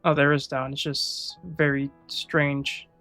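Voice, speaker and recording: A man streams a video game with casual, grumbling commentary. His voice is fairly high and creaky, with a sharp edge. He swears freely and sounds sarcastic, over faint music. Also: flat delivery, slow.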